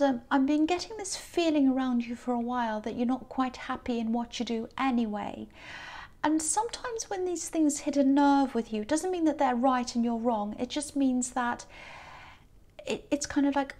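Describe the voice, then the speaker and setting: A tired woman with a croaky voice giving a chatty, gentle tarot reading, full of ums and soft hedges. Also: sits high. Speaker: tarot reader. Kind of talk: casual tarot reading to camera.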